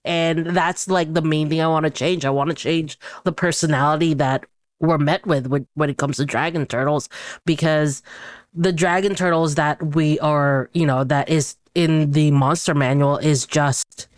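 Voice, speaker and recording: A woman in a casual chat as a podcast guest on tabletop gaming, speaking haltingly as she thinks aloud. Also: deep voice, fairly even intonation, no echo, clean studio sound.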